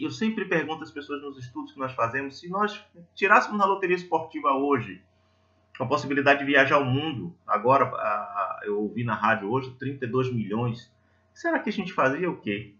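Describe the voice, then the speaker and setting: A man's voice: mid-range voice, bright, forceful tone, lo-fi sound. A Brazilian man giving a religious talk in a conversational, didactic way, telling stories and putting reflective questions to his listeners. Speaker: lay preacher at a Spiritist centre.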